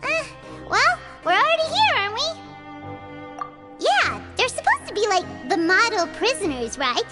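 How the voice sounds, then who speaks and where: A woman voicing a tiny, childlike fairy companion in a video game, squeaky, chirpy, inquisitive and blunt. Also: big pitch swings, unhurried, full character voice. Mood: puzzled. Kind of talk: video game character acting. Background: music.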